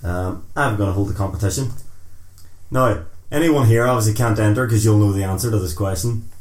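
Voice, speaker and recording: A man's voice: fairly low pitch, a little fast, a little mellow. A man co-hosting a casual podcast, joking around, swearing freely and laughing often. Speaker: podcast co-host. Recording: echoey room, faint noise.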